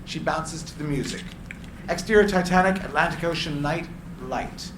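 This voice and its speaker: A man's voice: a little high, moderate pace. Man narrating a screenplay in echoey room. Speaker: narrator of stage directions. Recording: echoey room, clear background noise.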